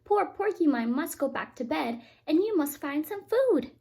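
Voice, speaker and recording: A woman's voice: high voice, lively intonation, normal speed, vocal fry, warm tone, pitched above the performer's own voice. A woman voicing an earnest, worried young male fox from a storybook, giving urgent, emphatic warnings.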